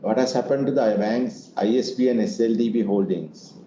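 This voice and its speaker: A man's voice: mid-range voice, normal speed, mellow. A man answering investor questions as an executive leading an earnings call, in a semi-formal way. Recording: muffled, echoey room with noise.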